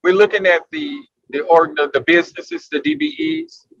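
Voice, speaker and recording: A man putting careful, deliberately worded questions as a participant in a panel conversation. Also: high-pitched, very animated, gravelly, a bit bright, dry recording, muffled recording.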